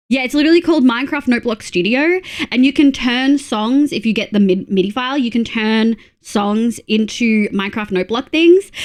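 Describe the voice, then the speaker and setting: A chatty woman streaming a game, her voice thick and stuffy from congestion. She talks in an excitable, rambling way with lots of fillers, sitting a little above the usual pitch for a woman. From Australia. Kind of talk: casual livestream chat while playing.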